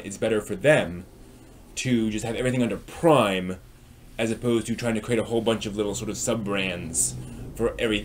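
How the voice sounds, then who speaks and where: A man hosting a live stream, chatting casually and ramblingly as he responds to viewers. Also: on the low side. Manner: rambling, reacting to chat as it comes.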